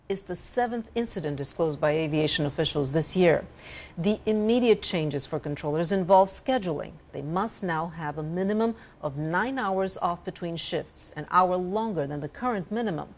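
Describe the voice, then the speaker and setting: Low-pitched female news presenter. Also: normal speed. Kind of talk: broadcast news reading and interview.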